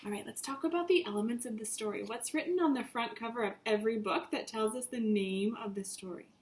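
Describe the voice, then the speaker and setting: A woman's voice: fairly high pitch, quick pace. A woman teacher reading a story aloud to young children, with simple, instructive phrasing and repetition.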